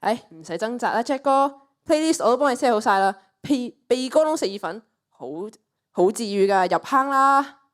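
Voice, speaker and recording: A woman's voice: on the high side, expressive, normal speed, natural voice. A teenage girl with a Cantonese accent playing a brash, flippant young woman in a radio play, scoffing and cocky. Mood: triumphant. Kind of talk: student radio play acting.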